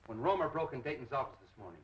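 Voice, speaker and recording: An actor playing a brusque, impatient, sarcastic police detective in a 1930s film. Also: male voice, mid-range voice, very animated, racing, natural voice. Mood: insistent, brisk. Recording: dull 1930s film soundtrack.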